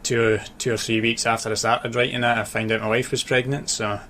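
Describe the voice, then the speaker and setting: A man with a mixed Scottish accent from Dundee, Glasgow and Edinburgh, chatting thoughtfully and haltingly as a radio interview guest. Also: medium pitch, level tone, sharp tone. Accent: Scottish, mix of Dundee, Glasgow and Edinburgh. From Dundee, Scotland. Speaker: interview guest, author and sports journalist. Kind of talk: casual radio interview chat. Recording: clear background noise.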